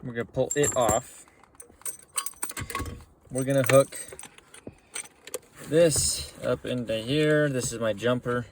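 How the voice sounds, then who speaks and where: A man giving a relaxed, folksy how-to walkthrough from inside a truck. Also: medium pitch, slow, pauses often, background noise. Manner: relaxed, folksy explaining with casual slang. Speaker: mechanic demonstrating CB radio setup. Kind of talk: casual how-to narration.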